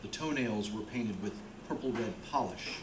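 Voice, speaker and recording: A man's voice: on the low side, normal speed, noisy background, natural voice. A man acting as the plain, grim storyteller of a radio play, reading scripted narration. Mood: grim, measured. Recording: roomy, with music behind.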